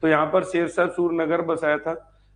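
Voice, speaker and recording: A man's voice: fairly high pitch, flat delivery, moderate pace, slight background noise. A man giving an informal online history lecture as a teacher, repeating key points and asking check questions. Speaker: history teacher for exam preparation.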